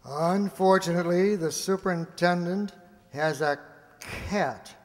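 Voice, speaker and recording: An actor playing a smooth, placating agent who coaxes a guest with a hesitant manner in a radio play. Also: male voice, high voice, a little slow. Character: smooth, coaxing male government agent plying a guest. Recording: reverberant hall.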